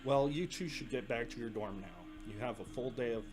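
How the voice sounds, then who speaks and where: A man playing an earnest older brother who trains recruits at a military academy, explaining things in a measured way in an audio drama. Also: mid-range voice, over music, natural voice. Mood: firm, reassuring.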